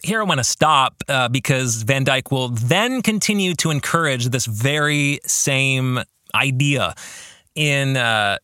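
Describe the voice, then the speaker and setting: Animated, bright-voiced man hosting a podcast. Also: mid-range voice, smooth, no room sound, studio quality. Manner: deliberate phrasing, posing questions to the listener. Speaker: podcast host and author discussing his own book. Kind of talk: reflective book-club podcast monologue.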